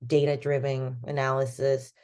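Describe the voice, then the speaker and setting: Guest, woman, 30s, from Venezuela; low, warm voice, loose rambling delivery. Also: flat delivery, normal speed. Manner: rambling, many fillers like "like" and "you know". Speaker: podcast guest. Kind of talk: casual conversational interview.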